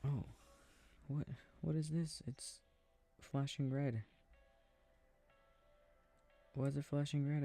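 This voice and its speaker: Soft creaky boyfriend guy acting it out, mellow and lowish, mumbling game commentary for a sleep aid roleplay. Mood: startled, uneasy. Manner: hushed, rambling self-talk, often drifting into whispers. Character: affectionate, easily startled boyfriend gaming beside sleeping partner.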